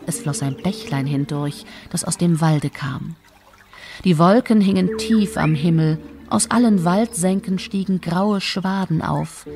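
A woman narrator telling a scripted German fairy tale in a measured, expressive storytelling manner. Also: fairly low pitch. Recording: music behind the voice.

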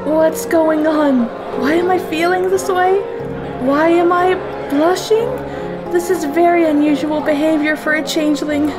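A woman voicing a shy, flustered young female pony in an animated series, with a hesitant, stammering way of talking. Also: high-pitched, a little slow. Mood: wondering. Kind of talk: animated fan series voice acting. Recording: loud music under the voice.

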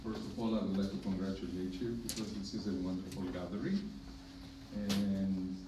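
A middle-aged man from Greece with a Greek accent, speaking on a conference panel as a hepatologist, measured and emphatic with dry humor. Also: deep voice, flat delivery, far from the mic. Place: conference room with projector. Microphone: podium mic, filmed from far in the room. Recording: echoey room from afar, dull and noisy.

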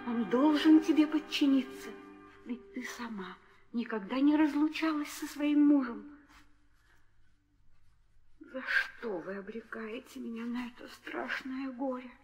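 Steadfast young wife: high, unhurried, tender and pleading, declaimed vintage radio-drama style over music. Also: female voice, darkish tone. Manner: solemn, imploring, declamatory. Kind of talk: 1960 theatrical audio drama acting.

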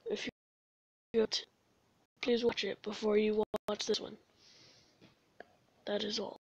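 A woman's voice: medium pitch, flat delivery, slow, breathy edge. A woman comic dubber giving a plain spoken introduction. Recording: dull, echoey room recording.

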